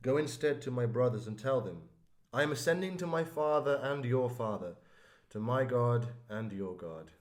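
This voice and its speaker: A man playing a gentle, calm Jesus. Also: medium pitch, moderate pace, close to an everyday voice. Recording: roomy echo.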